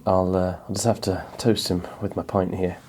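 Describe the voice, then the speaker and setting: A man's voice: deep voice, flat delivery, normal speed, a little mellow, a little hiss or hum. A middle-aged man hosting a podcast, chatting casually and rambling with self-deprecating humor from his small backyard pub. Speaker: podcast host. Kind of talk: casual chat. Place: small home-built pub in a garden outbuilding.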